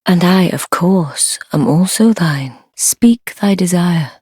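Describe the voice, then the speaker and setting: Low breathy scheming noble lady, dark fantasy game, very measured old english style, dry recording. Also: lively intonation, no echo, heavily acted. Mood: courtly, grateful. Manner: measured, formal archaic speech, deferential yet commanding. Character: sly, courtly, devoted dark-fantasy noblewoman. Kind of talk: fantasy video game acting.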